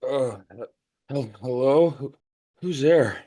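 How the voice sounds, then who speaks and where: A man's voice: mid-range voice, slow pace, warm tone. A man playing a woozy, drunk and anxious newspaperman in an audio play, stammering and muddled. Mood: groggy, confused.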